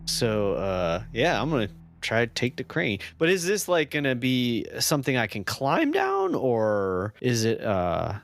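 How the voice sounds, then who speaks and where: A man's voice: medium pitch, wildly swooping pitch, measured pace, gravelly, dry recording. A man playing a tabletop roleplaying game, chatting casually and hesitantly as he thinks aloud.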